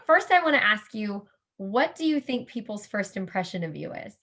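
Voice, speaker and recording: A woman's voice: medium pitch, big pitch swings, moderate pace, a bit bright. A woman interviewing a guest in a polite, appreciative, conversational way. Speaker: interview host.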